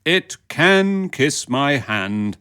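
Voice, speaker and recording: Stately storybook King, acted by a woman: low, pompous yet mild, animated intonation, drawn-out words. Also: smooth, full character voice. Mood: haughty. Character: mild, pompous grown male King of playing cards. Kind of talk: children's storybook character voice.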